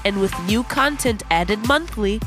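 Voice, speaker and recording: A woman reading a scripted promo in a slow, polished announcer delivery. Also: mid-range voice, expressive. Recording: promo with loud music bed.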